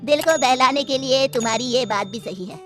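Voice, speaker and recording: Long-suffering old mother-in-law played by a woman, high voice. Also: moderate pace, music in the background, close to an everyday voice. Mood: resigned. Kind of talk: cartoon story dialogue.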